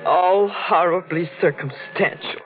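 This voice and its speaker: A woman's voice: lowish voice, measured pace, lightly acted. An actress plays a distraught young woman in a 1940s radio drama. She is earnest and imploring, urgently pleading. The old recording is muffled, with faint music behind her. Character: distraught, pleading young sister of a condemned man. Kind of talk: 1940s radio melodrama acting.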